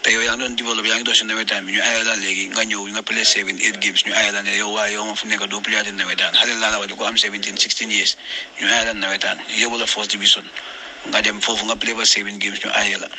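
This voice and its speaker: A man's voice: fairly low pitch, level tone, rapid-fire, bright, forceful tone, a little hiss or hum, rough recording. A man with a Gambian accent in a panel discussion, speaking emphatically and repeating words for stress.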